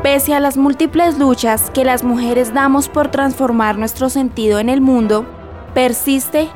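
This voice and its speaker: A woman's voice: a little high, darkish tone. A woman with a Colombian accent reading scripted narration for a radio programme. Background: faint music.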